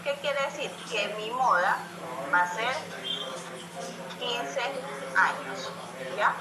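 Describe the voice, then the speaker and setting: Slow-paced woman teacher in an echoey room. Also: medium pitch, big pitch swings, a crowd in the background. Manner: explains step by step, checking understanding with frequent "ok?". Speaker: statistics teacher. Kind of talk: classroom lesson. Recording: echoey room with loud music behind.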